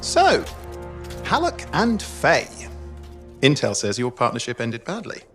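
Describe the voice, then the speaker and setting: A man playing an excitable, sarcastic young pilot sidekick in a video game cutscene, chatty and dramatic in delivery. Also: mid-range voice, takes it slowly, soft background music, exaggerated voice. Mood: teasing.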